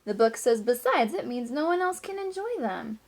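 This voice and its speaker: A woman teacher reading a picture book aloud to children, with expressive emphasis, drawn-out words and hushed asides. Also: fairly high pitch.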